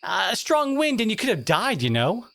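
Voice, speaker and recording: Someone acting a brash, exasperated teenage bully, wildly animated. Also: mid-range voice, moderate pace, dry recording. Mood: alarmed, angry. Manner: exasperated, confrontational, sighing, emphatic. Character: brash teenage school bully, abrasive but secretly caring. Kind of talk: dramatic audio roleplay monologue addressed to listener.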